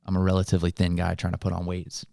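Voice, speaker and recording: A man's voice: sits low, monotone, racing, vocal fry, warm tone, no room sound, studio quality. A man hosting a casual motivational conversation, explaining things in an encouraging, coaching way. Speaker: podcast host.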